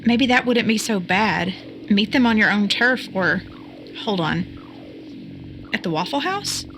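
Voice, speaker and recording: A curious young computer sleuth acted by a woman with a mid-pitched voice. She explains things conversationally in an audio drama, with clear noise in the background. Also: expressive, slow pace, fairly bright tone. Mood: concerned, intrigued.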